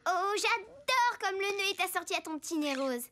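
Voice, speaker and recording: An actress voicing an affectionate, excitable little superhero girl in a children's cartoon, gushing and dramatic. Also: extremely high pitch, moderate pace, dry recording, heavily acted. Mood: eager, scheming. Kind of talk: children's cartoon dub acting. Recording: music behind the voice.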